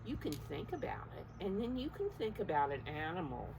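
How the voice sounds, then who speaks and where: A woman's voice: lowish voice, big pitch swings, moderate pace, gravelly. A woman teacher reading a picture book aloud to preschoolers, encouraging them with simple explanations and questions, emphasising key words, in a slightly noisy recording. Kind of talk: interactive picture-book read-aloud for young children.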